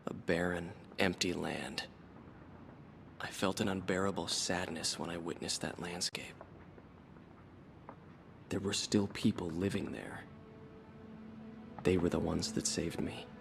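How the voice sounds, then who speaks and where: Casting: a battle-worn mercenary pilot, very low and gravelly, brooding game monologue. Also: male voice, measured pace, pauses often, slight background noise. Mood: somber. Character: war-weary male mercenary pilot, disillusioned survivor. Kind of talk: dramatic interview-style monologue in a video game. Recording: music behind the voice.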